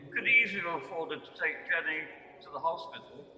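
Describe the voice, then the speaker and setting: A man performs a stern, probing spirit for a radio play. The voice sits a little high and is solemn and accusing. A long, echoing reverb surrounds every word. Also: lively intonation, quick pace, pushed and bright, slight background noise. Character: stern, solemn, accusing male ghost of Christmas past. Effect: ghostly reverb. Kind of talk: amateur radio play acting.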